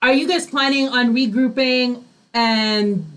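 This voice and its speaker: A woman's voice: a little high, fairly even intonation, slow, fairly bright tone. A woman hosting a radio show, chatting casually with guests in a studio interview.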